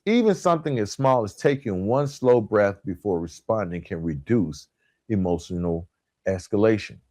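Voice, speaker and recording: A man delivering a motivational self-help podcast talk as a coach, with emphatic, deliberate phrasing and frequent check-in tag questions. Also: on the low side, lively intonation, darkish tone, dry recording, clean studio sound. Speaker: podcast host and relationship coach.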